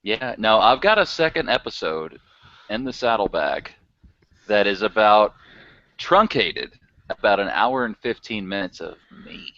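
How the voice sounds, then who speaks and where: A man in loose podcast chatter, rambling along and chuckling as he goes. His voice sits on the low side but swings widely up and down. The recording is dry and dull, with a lo-fi sound. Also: lively intonation, a little slow, pushed and bright, no room sound. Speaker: podcaster guest. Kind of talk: casual chat.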